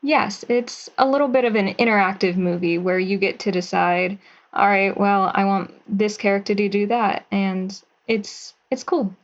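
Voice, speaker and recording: A woman's voice: mid-range voice, normal speed. A chatty young woman on a lo-fi line. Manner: chatty, upbeat, with light laughter. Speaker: guest writer and actress. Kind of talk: casual interview.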